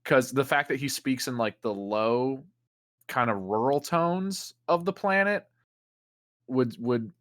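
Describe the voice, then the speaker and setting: A man co-hosting a casual podcast roundtable, talking in animated, joking bursts. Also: mid-range voice, slow pace, dry recording, clean studio sound.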